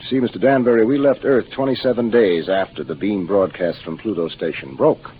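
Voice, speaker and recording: A man's voice: on the low side, fairly quick, lightly acted. An American man playing a seasoned, matter-of-fact spaceship captain in 1950s radio drama style, commanding as he explains things. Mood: genial. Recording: muffled, noisy 1950s radio broadcast.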